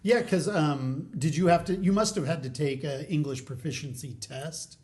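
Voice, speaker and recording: A man's voice: on the high side, moderate pace, a little mellow. A man hosting a college podcast, chatting in a friendly, upbeat way with a guest. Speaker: podcast host. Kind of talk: casual interview chat.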